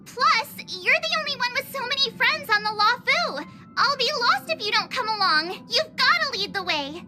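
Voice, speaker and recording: A bubbly, high-pitched young adventurer. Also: female voice, moderate pace. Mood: protesting. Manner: excitable, whiny, teasing. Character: bubbly, fun-loving young woman adventurer. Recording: game cutscene with music.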